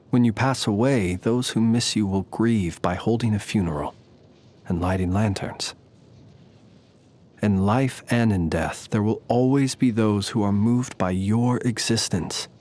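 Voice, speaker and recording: An actor playing a courtly, ancient inhuman gentleman soldier in a video game, speaking in a polite, formal, measured and wryly understated manner. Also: on the low side. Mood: gentle, solemn. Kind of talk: scripted game character voice lines.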